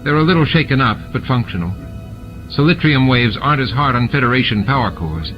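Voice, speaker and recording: A man voicing a calm, matter-of-fact female science officer in a science fiction audiobook. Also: medium pitch, fairly quick, muffled recording, close to an everyday voice. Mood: composed. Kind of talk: audiobook character dialogue. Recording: music bed behind the reading.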